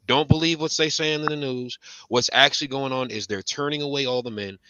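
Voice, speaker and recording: Man, from Chicago, casual chat, bright forceful tone, dry close sound. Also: fairly quick, no room sound, dull audio. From Chicago, raised in Indiana. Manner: animated, rises in pitch when making a point; talks in fragments with restarts. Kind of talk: casual conversation.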